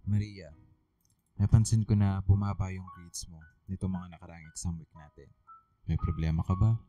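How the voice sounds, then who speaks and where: A man playing a concerned, composed high school teacher in a Filipino radio drama. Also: little pitch movement, normal speed, music in the background, natural voice.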